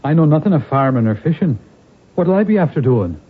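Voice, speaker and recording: An actor playing a proud but weary impoverished nobleman, husky-voiced, in old radio drama style. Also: on the high side, sing-song, a little fast. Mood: wistful, resolute. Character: impoverished Irish nobleman, proud yet weary, last of his line. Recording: narrow-band old radio broadcast.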